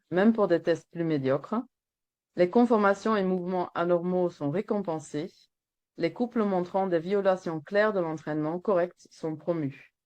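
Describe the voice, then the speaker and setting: A woman with a Flemish accent reads her prepared webinar talk in French, her second language, at a slightly low pitch. She sounds earnest and steady, a veterinarian speaking over a video call. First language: Flemish Dutch. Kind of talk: reading a prepared presentation.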